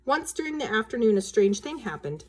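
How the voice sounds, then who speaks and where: Female storyteller for a children's book read-aloud, expressive, changing her voice for dialogue. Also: on the high side, a little fast, husky.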